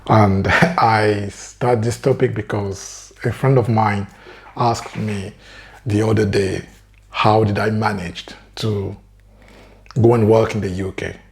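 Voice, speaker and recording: A man's voice: fairly low pitch, steady tone, slow, creaky. A man with a French accent whose first language is French, talking earnestly to camera as a career coach and often adding "right?". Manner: earnest, keeps asking "right?". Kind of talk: direct-to-camera advice talk.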